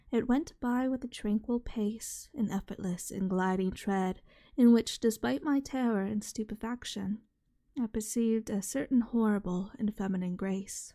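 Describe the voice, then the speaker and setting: A soft-spoken woman reading a horror short story in a measured ASMR style. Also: medium pitch. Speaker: reader, channel host.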